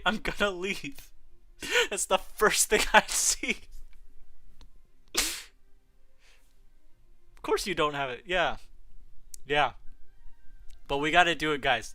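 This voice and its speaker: A man's voice: on the high side, lively intonation, slow, pauses often, pushed and bright, faint music behind. A young man hosting a live game stream, chatting casually and answering chat aloud, often laughing.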